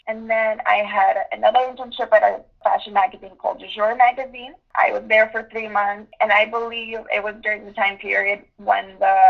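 A woman in her twenties, from Ukraine, answering interview questions with many pauses and restarts. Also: mid-range voice, creaky, narrow, tinny audio. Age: 20s. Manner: thoughtful, with many ums and restarts. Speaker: guest journalist.

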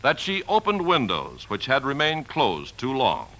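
A male announcer in old-time radio style, reading polished copy formally. His delivery is extremely animated and forceful, at a medium pitch. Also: unhurried, poor audio. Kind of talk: 1950s scripted radio narration.

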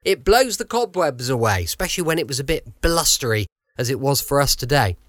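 Podcast host: English male, chatty, expressive delivery, recorded dry. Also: medium pitch, a little fast, dry recording. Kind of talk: chatty scripted podcast introduction.

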